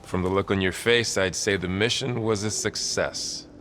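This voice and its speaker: A man's voice: lowish voice, fairly even intonation, normal speed, a bit bright, natural voice. A man playing a loyal young warrior in a video game, easygoing and lightly teasing. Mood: upbeat. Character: loyal, good-humoured young male warrior companion. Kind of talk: video game cutscene acting. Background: faint music.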